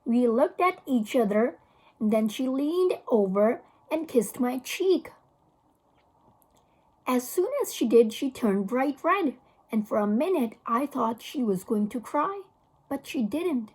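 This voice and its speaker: A woman with a husky voice reading a children's novel aloud as a teacher would for a class. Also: on the high side, lively intonation, takes it slowly, darkish tone.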